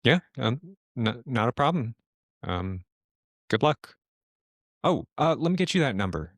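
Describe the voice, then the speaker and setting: A man voicing a hesitant, helpful young woman who works at a library, halting and unsure. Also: mid-range voice, sing-song, no echo. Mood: nervous, helpful. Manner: halting, unsure, eager to help.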